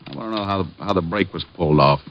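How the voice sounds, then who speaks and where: A man's voice: deep voice, quick pace, lightly acted. A man playing a wisecracking, sardonic private detective in an old-time radio drama, dry and flippant. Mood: wry, needling. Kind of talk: 1940s-50s radio detective acting and first-person narration. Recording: muffled old radio recording.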